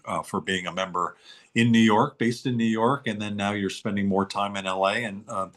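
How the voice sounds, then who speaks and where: A man hosting a webinar over a video call, thanking and introducing members. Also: low-pitched. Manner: warm, thanking and introducing guests. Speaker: host.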